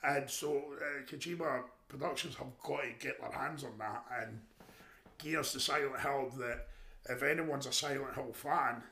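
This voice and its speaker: A man with a deep voice telling stories in a rambling, animated way during a casual chat. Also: moderate pace, vocal fry, slight background noise. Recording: echoey room.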